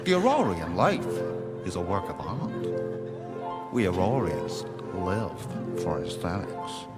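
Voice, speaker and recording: An actor in a radio play voicing a clown's mimicry of a haughty, prissy alien with pompous, affected delivery. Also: male voice, sits low, very animated, slow, heavily acted. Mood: haughty, disdainful. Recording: loud music behind.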